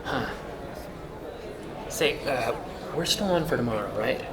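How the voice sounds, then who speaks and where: Man playing bashful, lively-pitched policeman. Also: measured pace. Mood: casual, shy. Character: Philip, bashful male police officer, defensive and casual. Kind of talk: audio drama dialogue. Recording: chattering crowd behind, busy indoor ambience. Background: crowd chatter.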